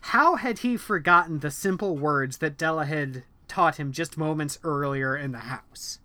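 Man, slightly high, bright tone, dry close recording, casual podcast chat, hesitant restarts. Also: unhurried, dry recording. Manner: animated, hesitant with many ums and restarts. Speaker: podcast co-host discussing a book.

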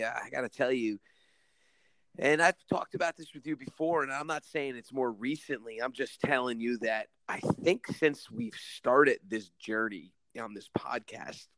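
A man's voice: medium pitch, a bit bright, dry recording, rough recording. Earnest, rambling man on a podcast. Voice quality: commanding voice. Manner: rambling, earnest, many restarts. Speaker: podcast co-host, school teacher. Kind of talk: casual podcast chat.

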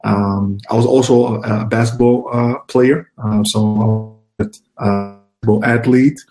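Low, flat-voiced man, halting. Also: soft background music, poor audio. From Ghana. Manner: halting, many fillers and repeated words. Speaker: guest, HR professional. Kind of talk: casual interview answers.

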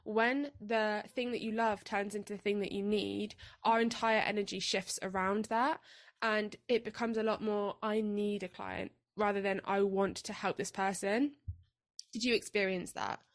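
A woman's voice: medium pitch, steady tone, moderate pace. A woman co-hosting a podcast, talking in an earnest, reflective way and often affirming her partner. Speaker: podcast co-host. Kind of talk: casual chat.

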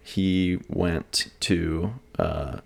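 A man's voice: low-pitched, flat delivery, very slow, creaky. A young man, a podcast guest, telling rambling stories in casual chat and laughing often. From Cincinnati area, USA. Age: young adult.

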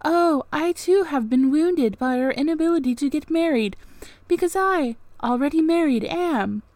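Lady doing brooding gentleman from an old novel, theatrical, pleading and accusing, kinda high voice, dry sound. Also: moderate pace, no room sound, close to an everyday voice. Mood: melodramatic lament. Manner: theatrical, long emphatic speeches. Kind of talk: dramatic audiobook-style reading of a classic novel.

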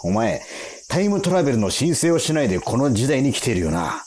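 Man acting a cocky, animated time-patrol officer. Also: medium pitch, brisk, a little hiss or hum, natural voice. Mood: stern, threatening. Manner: condescending, rough interrogator talk. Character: gruff, cocky male time-patrol officer, easily irritated. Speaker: radio drama actor. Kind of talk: radio drama acting.

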